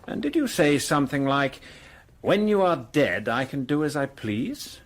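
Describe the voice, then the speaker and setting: An actor playing a brusque, accusing police detective in a radio drama, questioning formally and insistently. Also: male voice, fairly high pitch, expressive, unhurried.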